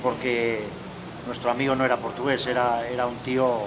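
A man's voice: medium pitch, completely flat delivery, brisk. A middle-aged man hosting an interview in a casual, conversational way, often ending sentences with tag questions. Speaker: interviewer, club host. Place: outdoor courtyard with artificial grass. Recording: outdoor recording with clear background noise.

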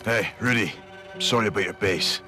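An actor playing a keen, brotherly special forces sergeant in a game, brisk and matey. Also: male voice, fairly low pitch, over music, close to an everyday voice. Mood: brisk, comradely. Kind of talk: cinematic game acting. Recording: loud score over dialogue.

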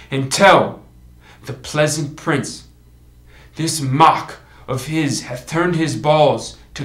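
A man performing a Shakespearean monologue as a proud, vengeful young king, declaiming deliberately with dramatic pauses. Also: mid-range voice, a bit bright. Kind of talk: Shakespearean stage monologue in verse. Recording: echoey room.

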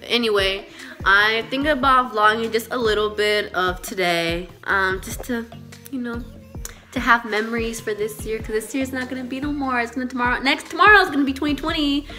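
A woman's voice: a little high, normal speed, pushed and bright, faint music behind. A young woman with a head cold, sounding nasal, chatting casually and swearing freely into a phone held at arm's length at home. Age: young adult. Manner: casual and swearing, mixes in Spanish. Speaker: vlogger. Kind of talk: casual vlog chat. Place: home living room, then a car at night.

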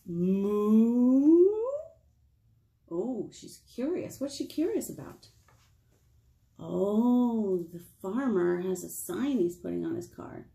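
An American woman in her thirties leading a picture-book storytime for small children, playful and expressive, asking them questions. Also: mid-range voice, slow, creaky, pauses often. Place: small indoor room with whiteboard.

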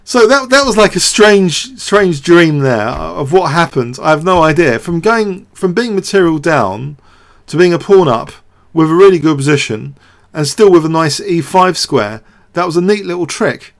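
A man's voice: on the high side, lively intonation, moderate pace, few pauses. A man giving off-the-cuff game commentary as a show host, hesitant and thinking aloud with repeated words.

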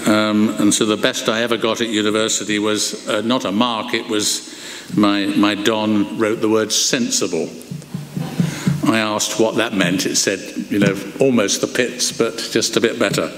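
An older man preaching a sermon from a lectern in a large church, expressive and slowing down to stress his points. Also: lowish voice, a little hiss or hum. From England. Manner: expressive, gestures broadly, slows for emphasis. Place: large wooden church, indoors. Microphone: lectern microphone. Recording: echoey church hall, long reverb.

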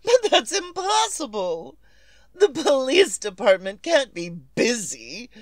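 An actress playing a nervous, imperious, querulous wife in 1940s radio suspense style, melodramatic and demanding. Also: female voice, high-pitched, big pitch swings, no room sound, full character voice. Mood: anxious, querulous. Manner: melodramatic, demanding, drawn-out delivery.

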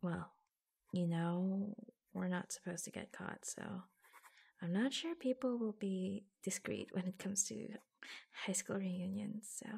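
A woman acts out a reassuring, affectionate secretary in an ASMR roleplay. Her voice is soft and breathy, often falling to a whisper, with a crackle of vocal fry. She takes her time, hesitating gently between short phrases. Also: mid-range voice, heavily acted. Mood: tender, reluctant.

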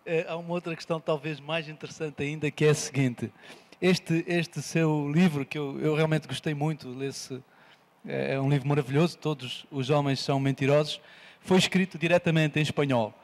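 A man's voice: fairly high pitch, steady tone, normal speed. A man interviewing a guest onstage at a festival, asking questions in an admiring way with small restarts. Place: large festival stage. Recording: long hall reverb.

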